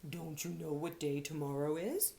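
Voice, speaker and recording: A woman voicing a wise female storybook owl with hooting, drawn-out words. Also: low-pitched, steady tone, creaky, dark tone, voice pushed down. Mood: knowing.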